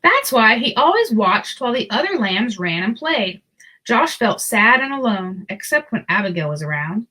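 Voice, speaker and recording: A woman narrating a children's storybook aloud in an expressive, deliberate storytelling manner. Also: fairly high pitch, breathy edge, rough recording.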